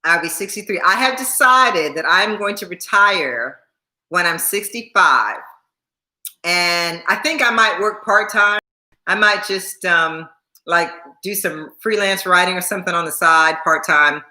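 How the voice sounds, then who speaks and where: A woman's voice: on the low side, measured pace, bright, forceful tone, reverberant. A woman in her sixties chatting casually on a livestream, repeating herself and laughing often while thanking viewers by name. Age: 60s. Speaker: YouTube host.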